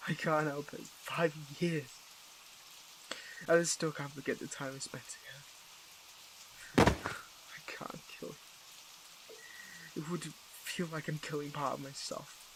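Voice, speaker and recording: A man playing a conflicted, tender superhero in an intimate audio roleplay, with a gravelly voice and halting, earnest, emotional delivery. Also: a little high, steady tone, a bit bright, a little hiss or hum, low-quality recording. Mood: anguished, hesitant.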